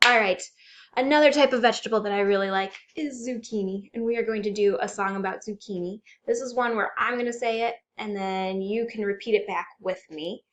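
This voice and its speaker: A woman hosting a children's storytime, reading aloud and chanting rhymes in an animated, exaggerated way for young children. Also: mid-range voice, a little fast, slight background noise. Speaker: children's librarian storytime host.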